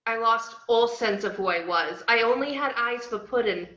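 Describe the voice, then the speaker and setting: A woman playing a brash, bubbly, unhinged young antiheroine, narrating her own story with cheeky, expressive delivery in a table read. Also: a little high, moderate pace. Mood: lovestruck. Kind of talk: first-person scripted narration in an amateur table read. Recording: roomy, muffled online call audio.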